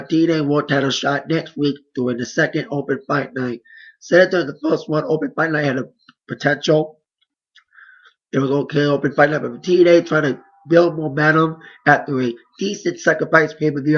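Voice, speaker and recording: A hoarse man giving a casual, rambling play-by-play recap of wrestling matches. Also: mid-range voice, muffled recording. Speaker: wrestling reviewer.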